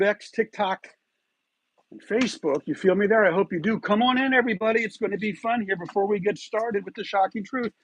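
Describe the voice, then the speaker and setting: A man's voice: high-pitched, breathy edge. A man hosting his own online commentary show, talking emphatically and often asking his viewers whether they follow him. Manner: emphatic, rhetorical, keeps asking "you feel me there?". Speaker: YouTube channel host. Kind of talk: scripted commentary monologue.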